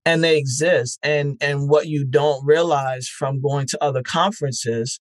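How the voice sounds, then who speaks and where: A man's voice: a little high, steady tone, measured pace, dry recording. A man, founder of a podcast festival, answering interview questions in a reflective way with many fillers and restarts.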